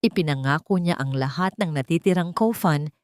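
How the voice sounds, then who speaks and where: A woman telling a dramatic love story in scripted narration. Her voice sits low and her reading is measured and steady. The recording is close and dry. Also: dry recording.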